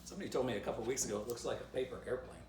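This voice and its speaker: A man's voice: medium pitch, rapid-fire. Male children's author, storybook read-aloud and easygoing drawing tutorial; distant mic in an echoey room. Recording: roomy, distant mic, faint background noise.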